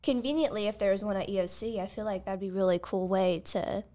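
A woman's voice: mid-range voice, quick pace, warm tone. A woman co-hosting a podcast, chatting casually as she answers listener questions. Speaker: podcast co-host. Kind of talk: casual advice podcast chat.

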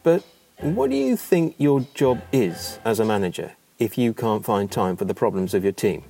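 A man's voice: on the low side, lively intonation, normal speed, mellow, faint music behind. A man voicing a kindly but firm older male theatre colleague in a memoir reading, emphatic and plain-spoken. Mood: firm, earnest.

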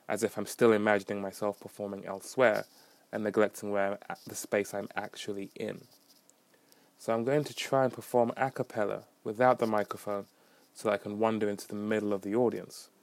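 A man's voice: fairly low pitch, dry recording. A young man hosting his own podcast, rambling in a casual, self-deprecating way with wry asides. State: slight residual cough. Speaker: podcast host. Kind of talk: casual solo monologue.

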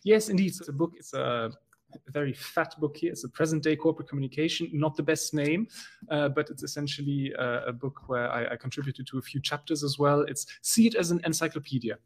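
A man from Belgium, speaking as a guest in a casual conversation, often pausing with fillers and restarting his sentences. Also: on the high side. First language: German. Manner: hesitant, many fillers and restarts.

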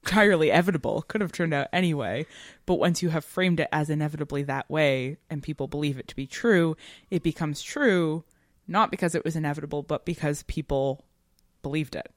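A woman with a notably low voice co-hosts a discussion podcast in a very dry recording. She speaks conversationally but analytically, leaning on key words and reflecting as she goes in academic turns of phrase. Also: brisk, dry recording. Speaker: podcast co-host.

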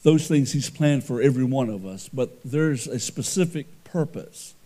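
Middle-aged male pastor, loud carrying voice, mellow tone, earnest and conversational from the pulpit. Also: mid-range voice, lively intonation, normal speed. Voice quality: loud voice that carries. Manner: earnest, conversational preaching with repeated restarts. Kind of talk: sermon.

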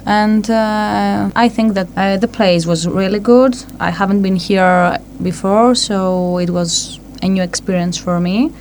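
A woman from Greece chats as a radio guest. She speaks haltingly and her sentences trail off quietly. A faint hiss runs underneath. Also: mid-range voice, fairly even intonation. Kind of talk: casual radio chat.

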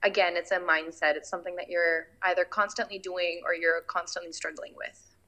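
A woman's voice: lowish voice, little pitch movement, a little fast, sharp tone. A woman in her twenties talking earnestly and thoughtfully in a casual chat, with lots of "like". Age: 20s. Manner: earnest, thoughtful, uses "like" a lot.

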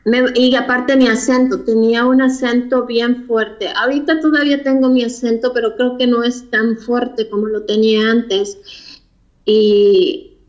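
A Mexican woman with a fairly high voice recounts her own path as an interview guest, pausing and searching for words as she reflects. The recording sounds muffled and lo-fi. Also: steady tone. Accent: Mexican Spanish. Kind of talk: personal storytelling in an interview. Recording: dull lo-fi recording.

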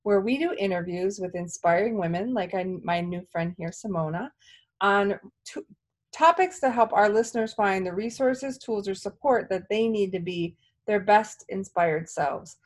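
A woman's voice: mid-range voice, animated, normal speed. A woman hosting a podcast interview, chatty and a bit rambling as she sets up her questions. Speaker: podcast host. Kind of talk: casual interview talk.

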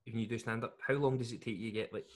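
A Glaswegian man chatting casually and telling an animated anecdote as host. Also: mid-range voice, very fast. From Scotland. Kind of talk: casual chat.

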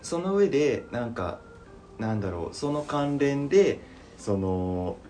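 A man's voice: mid-range voice, very animated, a little slow, dark tone, soft background music. A man in a casual creative chat, thinking aloud and acting out imagined lines as he goes. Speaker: podcast member discussing a voice drama plan.

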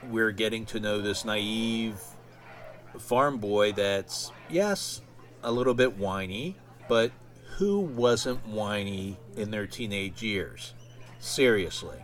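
A man with a fairly low voice talks casually as a solo podcast host. His delivery is conversational and a little halting, as if thinking it through while he speaks.

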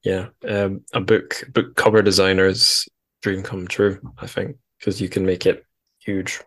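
A man's voice: low-pitched, little pitch movement, unhurried, fairly bright tone. A man hosting a casual interview, thinking aloud with tentative phrasing and dry humour. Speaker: podcast host.

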